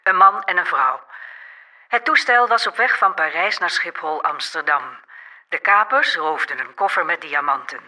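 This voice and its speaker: An actress playing a formal police dispatcher on a scanner radio in a Dutch radio play, measured, with a Netherlandic accent. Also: female voice, lowish voice, lively intonation, sharp tone. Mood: formal, factual. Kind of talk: formal scripted announcement.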